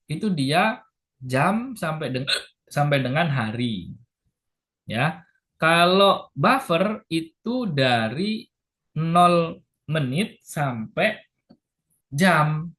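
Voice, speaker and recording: Male lecturer, higher-pitched, slow and patient, a bit of a sore throat. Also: lively intonation, a bit bright. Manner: slow, patient, repeats key points with "ya". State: sore throat, clears throat and hiccups. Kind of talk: lecture to health workers.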